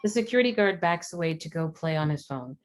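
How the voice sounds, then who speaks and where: A woman with a low voice reads screenplay stage directions as a narrator. Her delivery is scripted narration, as at a table read of a drama. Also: expressive, fast.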